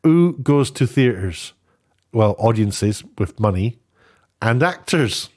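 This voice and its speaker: A man's voice: medium pitch, lively intonation, slow pace, darkish tone. A man presenting an online video, giving off-the-cuff, opinionated news commentary in a rambling way, often rephrasing himself.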